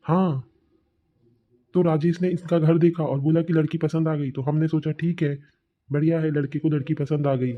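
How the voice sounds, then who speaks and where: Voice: medium pitch, steady tone, moderate pace, dull audio, natural voice. A performer voicing a mild, resigned older father-in-law, a village man in a cartoon comedy. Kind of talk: Hindi cartoon family comedy dubbing.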